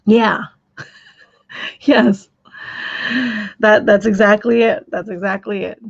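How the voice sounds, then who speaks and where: A woman hosts a live stream, her voice heavy with gravelly fry and a husky edge. She rambles, repeating words and restarting her sentences. The stream audio is dull and lo-fi. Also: mid-range voice, steady tone, takes it slowly. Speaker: livestream host.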